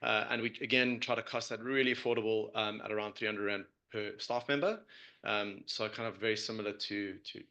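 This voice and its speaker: A man answering questions off the cuff as a startup founder, halting and hedging as he explains. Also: fairly low pitch, steady tone, pushed and bright, lo-fi sound.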